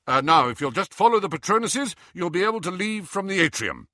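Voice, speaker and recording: A man voicing a decisive young wizard hero in an audiobook, brisk and commanding as he takes charge. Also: sits high, sing-song, pushed and bright, dry recording, studio quality, pitched above the performer's own voice, exaggerated voice. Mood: instructing.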